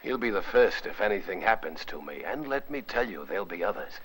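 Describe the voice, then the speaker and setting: An actor playing a paranoid, bitterly jealous sick husband who taunts and accuses deliberately, in 1950s Hollywood film style. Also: male voice, low voice, very animated, fairly bright tone, rough recording. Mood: taunting, accusing. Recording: vintage 1950s film soundtrack, light noise.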